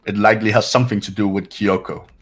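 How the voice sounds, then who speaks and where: A man's voice: on the low side, fairly even intonation, quick pace, soft background music, pitched below the performer's own voice, close to an everyday voice. A man voicing a haughty, cold young man in a fan-voiced game, with a disdainful, pompous delivery.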